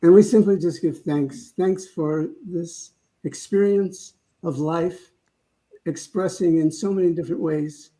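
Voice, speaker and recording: A man leading a spiritual service with informal, reflective talk and prayer, halting as he goes. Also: a little high, warm tone, low-quality recording.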